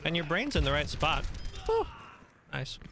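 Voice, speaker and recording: A man coaching players through a game replay, talking in a relaxed, instructive way with many ums, his voice a little nasal. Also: a little high, measured pace, gravelly, noisy background. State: slightly nasal, voice going.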